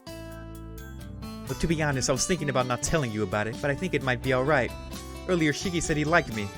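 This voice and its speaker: A man's voice: medium pitch, fairly quick, natural voice. A man voicing a blunt, self-assured, ancient vampire woman from a visual novel, coolly insistent. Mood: smug, bargaining. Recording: music behind the voice.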